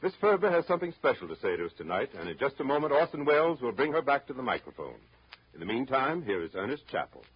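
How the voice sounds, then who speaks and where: A man's voice: medium pitch, sing-song, fast. A man announcing from a script in 1930s radio style. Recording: dull, noisy 1930s radio recording.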